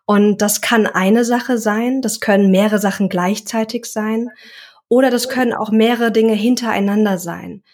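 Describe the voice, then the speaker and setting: A woman's voice: medium pitch, fairly even intonation, normal speed, darkish tone. Reflective young woman in an interview. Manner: reflective, searching for words. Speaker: guest, self-employed coach. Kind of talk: casual interview talk.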